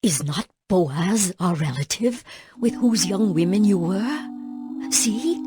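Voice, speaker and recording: A woman playing an older, devout mother-in-law in a dramatized scripture reading, counselling with slow, earnest care. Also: mid-range voice, lively intonation, over music. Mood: tender, counselling. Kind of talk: dramatized scripture audiobook acting.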